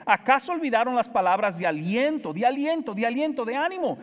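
Emphatic Dominican pastor preaching in a hall. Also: male voice, very high-pitched, animated, brisk. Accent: Dominican Spanish. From Dominican Republic. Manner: preaching with emphatic repetition, swinging between loud and soft. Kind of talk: sermon.

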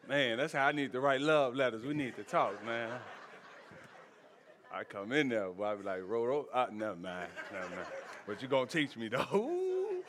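A man's voice: lowish voice, fairly bright tone. A man preaching a sermon as pastor, folksy and joking, with laughter and asides to the congregation in a church sanctuary.

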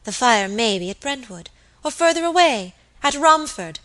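Cold, haughty lady voiced by a woman, sharp-edged. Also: mid-range voice, expressive, moderate pace, close to an everyday voice. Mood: scornful, commanding. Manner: cold, hard, stern, contemptuous. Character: cold, haughty, fierce lady scolding her maid.

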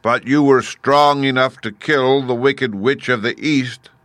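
A man as Oz, booming, slow, commanding wizard. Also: fairly even intonation, a bit bright, no echo, close to an everyday voice. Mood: imperious. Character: great stern wizard as giant talking head. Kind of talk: storybook character voice.

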